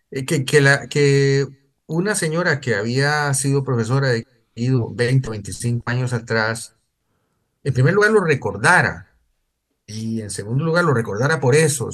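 A man's voice: medium pitch, fairly bright tone. An older man, recounting memories conversationally in a hesitant, digressive way with repeated words. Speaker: guest writer. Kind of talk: conversational anecdote telling.

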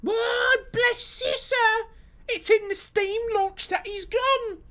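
A storybook working-class housewife, pitched extremely high. She is stout, fretful and talkative, respectful to her betters but full of homely grumbling. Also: fairly even intonation, slow pace, sharp tone, heavily acted. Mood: worried, deferential. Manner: deferential, garrulous, colloquial working-class speech. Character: stoutish red-faced working-class London wife, fretful and chatty.

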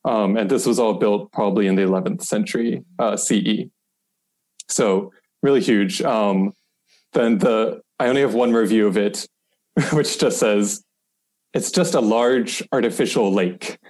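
A man's voice: lowish voice, unhurried, a little mellow. A man chatting informally as a podcast guest, explaining and reading out reviews with light amusement.

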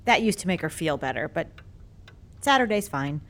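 A woman's voice: deep voice, very animated, moderate pace, fairly bright tone, heavily acted. An actress playing a fretful, scattered older mother of a grown daughter in audio fiction, with a wavering manner. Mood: wistful, distracted.

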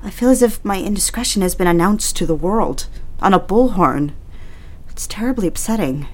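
An actress playing an anxious young secretary who speaks hesitantly and softly in an audio drama. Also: female voice, mid-range voice, lively intonation, moderate pace, lightly acted. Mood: troubled. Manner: hesitant, troubled, soft.

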